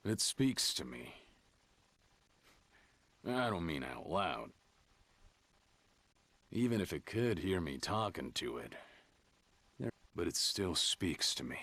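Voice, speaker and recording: A male actor playing a gruff, middle-aged rabbit junk digger in a video game, musing fondly in a folksy, unhurried way. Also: a bass voice, a bit bright, dry recording, heavily acted. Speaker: game protagonist and narrator. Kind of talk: scripted video game monologue. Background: background music.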